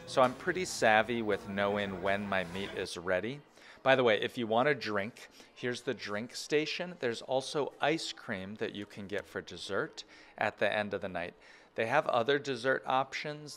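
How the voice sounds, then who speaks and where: A man's voice: medium pitch, fairly bright tone. An enthusiastic, chatty man in his thirties or forties, giving a casual guided food review as a vlogger.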